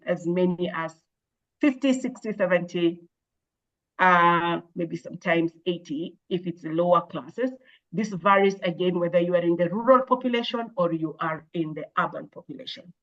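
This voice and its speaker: A woman, an education expert, answering interview questions in a measured, thoughtful way with frequent hesitations and restarts. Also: lowish voice, fairly bright tone, muffled recording. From Kenya. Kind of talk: explaining in an interview.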